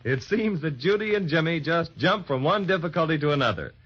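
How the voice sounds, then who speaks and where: A man announcing and narrating a children's serial in animated 1930s radio storytelling style. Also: a little high, fairly quick, breathy edge. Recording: dull, lo-fi 1930s radio transcription.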